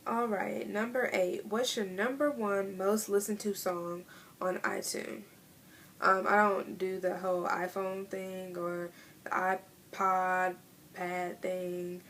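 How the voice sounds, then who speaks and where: A woman of twenty in a casual vlog, chatting in a relaxed way with many fillers as she answers tag questions. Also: medium pitch, slow pace, slight background noise. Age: 20s. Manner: chatty, laid-back, lots of fillers. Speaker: vlogger. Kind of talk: casual tag video chat.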